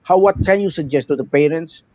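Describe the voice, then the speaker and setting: A man's voice: a little high, brisk, a little mellow, no room sound. A man with a Filipino accent hosting an interview, asking his questions with hesitant restarts. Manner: hesitant, repeats words when asking. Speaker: interviewer host. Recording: dull lo-fi line with faint noise.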